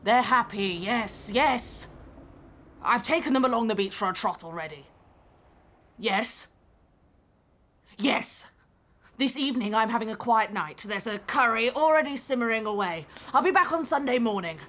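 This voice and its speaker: An imposing British man in his late forties acted by a woman, pitched rather high. He talks in clipped, staccato bursts, brusque and punchy, in audio drama style. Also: expressive, normal speed, pauses often, a bit bright. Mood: clipped, defensive. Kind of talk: audio drama phone-call dialogue.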